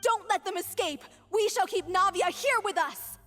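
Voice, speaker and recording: An actress playing a vindictive, accusatory member of a mob in a video game. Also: female voice, very high-pitched, normal speed, fairly bright tone. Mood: hostile. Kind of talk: dramatic video game voice acting. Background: music.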